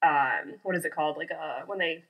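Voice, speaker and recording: A woman's voice: sits low, a little fast, dull audio. A woman co-hosting a podcast in a casual, conversational style. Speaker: podcast co-host. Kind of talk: casual chat.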